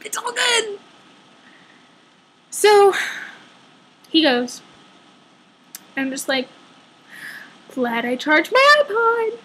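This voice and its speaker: A woman's voice: very high voice, sing-song, drawn-out words, long pauses, a bit bright. A woman telling a personal story casually, dramatically acting out dialogue with a sarcastic edge.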